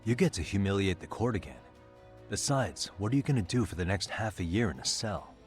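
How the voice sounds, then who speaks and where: A calm, determined detective voiced by a man questions a prisoner with steady, pressing insistence. His voice sits deep and low, and a faint music bed runs under the game scene. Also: brisk, lightly acted. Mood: stern. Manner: pressing, steady interrogating delivery. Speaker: detective in cutscene. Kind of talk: game voice acting, dub.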